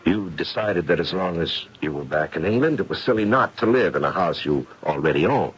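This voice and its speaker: A man playing a courteous, probing gentleman doctor who investigates the supernatural, in 1970s radio drama style. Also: sits low, animated, fairly quick, breathy edge, exaggerated voice. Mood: courteous, curious. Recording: dull, noisy vintage radio broadcast.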